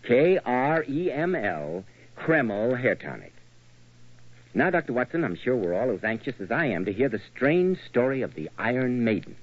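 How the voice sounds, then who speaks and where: A man's voice: medium pitch, sing-song, moderate pace, husky, dry recording. A man with an American accent announcing and reading advertisements in polished 1940s radio style. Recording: muffled old radio recording. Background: music.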